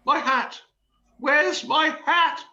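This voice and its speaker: Man playing a pompous, highly strung panto director, high-pitched. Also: drawn-out words, bright, forceful tone, muffled recording, exaggerated voice. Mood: indignant, flustered. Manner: pompous, theatrical, exasperated. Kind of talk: play reading of a stage comedy.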